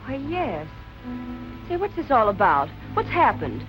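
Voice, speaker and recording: Lively, high-voiced noir nightclub gal. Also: female voice, unhurried, music in the background, rough recording. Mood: concerned. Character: brisk, loyal nightclub woman vouching for a friend. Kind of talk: 1940s film noir acting.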